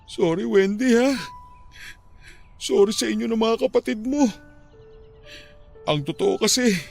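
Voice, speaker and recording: A man's voice: high voice, gravelly. An actor playing a heartbroken widowed father in a radio drama, talking tearfully, his voice breaking with grief. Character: grieving widowed father, middle-aged, heartbroken and tender.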